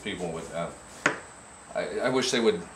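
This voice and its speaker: An older man chatting casually, halting with restarts and fillers as he tells stories. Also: deep voice. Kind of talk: casual chat. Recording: echoey room, distant mic, loud background noise.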